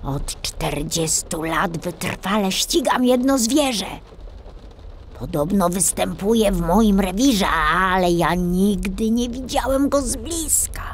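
A woman voicing an old male forester for a children's audiobook, speaking solemnly and deliberately. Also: medium pitch, expressive, slight background noise.

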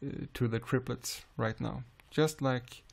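A man with a Swedish accent calmly explaining music software in a screen-recorded tutorial, pausing often with "uh". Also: mid-range voice, creaky. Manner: calm, unhurried explaining with frequent "uh" pauses.

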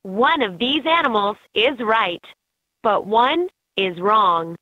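A woman reading scripted educational narration for a children's game in an expressive, instructive way. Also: medium pitch, slow, dry recording. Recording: slightly dull, lo-fi game audio.

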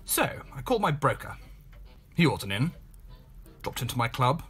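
A man playing a bored, world-weary gentleman in his thirties, narrating a homemade radio play in a wry, clipped way. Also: medium pitch, sing-song, normal speed, breathy edge, soft background music, voice pushed down, full character voice.

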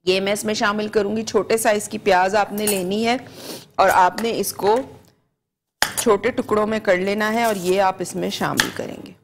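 Woman, 40s, Pakistani Urdu accent, studio cooking show, lapel mic, step-by-step instruction, mid pitch. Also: normal speed, background noise. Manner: patient step-by-step instruction, repeats each ingredient. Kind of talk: live TV cooking demonstration. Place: TV studio kitchen set.

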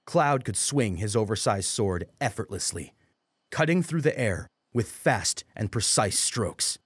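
A man's voice: fairly low pitch, animated, measured pace, husky, dry recording, clean studio sound. A man narrating a scripted dramatic story as an audiobook.

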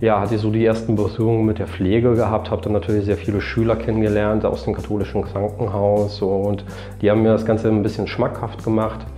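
A man's voice: fairly low pitch, little pitch movement, brisk, warm tone, soft background music. A man in his thirties, a nurse, answering interview questions earnestly in long fluent sentences. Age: 30s. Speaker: nurse and team coordinator. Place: indoors before a plain backdrop. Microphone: lapel mic.

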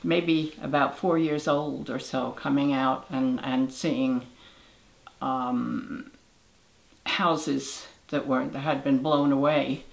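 Old lady from glasgow, slow, gravelly, pauses to think. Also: very deep voice, steady tone, rough recording. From Glasgow, Scotland. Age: older. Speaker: interviewee. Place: indoor living room, on a sofa.

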